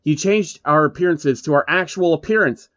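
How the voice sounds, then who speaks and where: A man's voice: fairly high pitch, moderate pace, fairly bright tone, no echo, muffled recording, lightly acted. A man voicing an alarmed young game player in a story, pleading urgently for sense.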